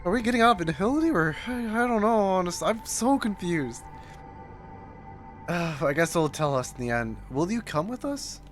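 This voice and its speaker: A game streamer reacting casually and emotionally. The pitch swings widely, with plenty of exclaiming, and faint music sits behind the voice. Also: expressive, normal speed.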